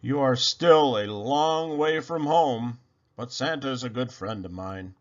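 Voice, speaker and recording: A man voicing a huge, friendly, helpful polar bear in a storybook, speaking in a kindly, deliberate way. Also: medium pitch, lively intonation, dull audio, lightly acted.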